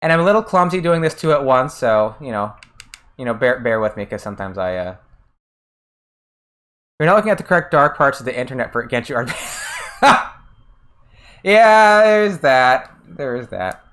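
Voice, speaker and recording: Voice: medium pitch, big pitch swings, normal speed, long pauses, a bit bright. An American game streamer chatting casually while playing, often trailing off and restarting sentences while answering chat. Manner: answers chat messages between gameplay.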